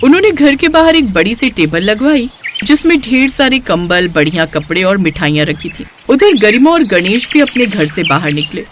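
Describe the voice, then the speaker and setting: A woman's voice: mid-range voice, big pitch swings, normal speed, slight background noise. A woman narrating a scripted moral story in a storyteller's style.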